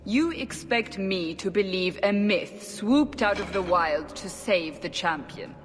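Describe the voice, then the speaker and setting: A woman's voice: medium pitch, animated, a little slow, natural voice. A stern, suspicious interrogator acted by a woman for a fantasy video game. She is demanding and skeptical, with severe impatience. Her voice rings with long reverb over faint background noise.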